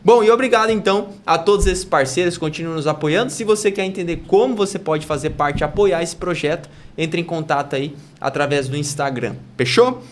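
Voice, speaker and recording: A man hosting a casual podcast interview, chatty and upbeat, running through sponsor thanks and warmly drawing out his guest. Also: fairly high pitch, fairly quick, hardly any pauses, a little hiss or hum. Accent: Brazilian Portuguese. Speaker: podcast host.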